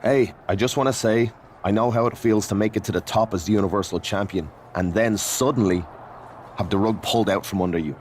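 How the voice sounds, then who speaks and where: A man's voice: on the low side, fairly quick, darkish tone, close to an everyday voice. A man playing a sympathetic wrestler ally in a game cutscene, talking earnestly and supportively over slight background noise. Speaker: wrestler character. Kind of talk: conversational video game cutscene.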